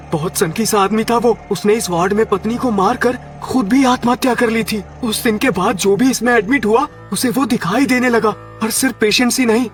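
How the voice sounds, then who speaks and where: Man doing a high-pitched, anxious hospital ward boy. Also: a bit bright. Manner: stammering, pleading. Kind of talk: cartoon horror story dialogue. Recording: music under the voice.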